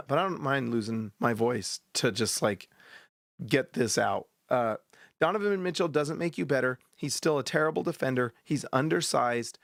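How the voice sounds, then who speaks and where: A man hosting a post-game basketball show, ranting bluntly and heatedly with a sore, hoarse throat. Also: mid-range voice, animated, normal speed, a bit bright, dry recording. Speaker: sports podcast host.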